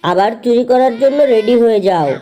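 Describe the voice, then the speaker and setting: Woman as a mellow, sly animal shopkeeper. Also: on the high side, fairly quick, natural voice. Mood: mock-sympathetic. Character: sly male animal shopkeeper, scheming husband, cowardly. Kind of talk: Bengali children's cartoon voice acting. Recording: music behind the voice.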